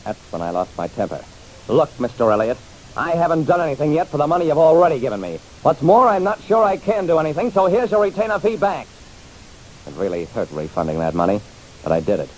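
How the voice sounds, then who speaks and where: A man's voice: a little high, sing-song, fast, darkish tone, rough recording. An actor playing a hard-boiled private detective narrating his casebook in a wry, dramatic, punchy radio style. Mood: irritated. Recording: hissy old radio recording.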